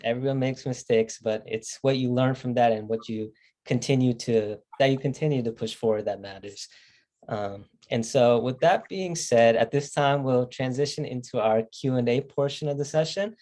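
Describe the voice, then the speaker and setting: Mellow young man hosting a live Q&A. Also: medium pitch, normal speed. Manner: scripted, transitional, with frequent um's. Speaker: host of a live session. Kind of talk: moderating a live Q&A.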